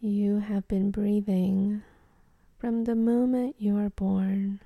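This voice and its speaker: A woman leading a guided meditation in a soft, breathy, half-whispered voice, calm and gentle, with long pauses between phrases. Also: mid-range voice, no room sound, phone-quality sound.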